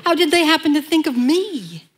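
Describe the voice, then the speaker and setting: A woman's voice: high-pitched, sing-song, normal speed, faint music behind. A woman playing a scatterbrained, naive wife in an old-time radio comedy. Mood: ditzy. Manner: naive, ditzy. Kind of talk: 1940s radio acting.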